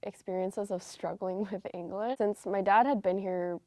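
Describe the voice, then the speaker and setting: A young woman who is a college student, answering interview questions in a soft, thoughtful, casual way. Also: medium pitch, fairly even intonation, moderate pace, no echo. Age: young adult.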